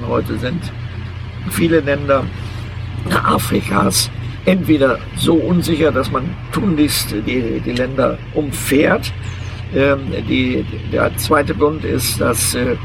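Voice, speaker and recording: Gravelly older man, hesitant storyteller. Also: mid-range voice, poor audio. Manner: deliberate, hesitant, many filler sounds. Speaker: interviewee, long-term overland traveler. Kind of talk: outdoor interview. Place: outdoors beside a vehicle museum.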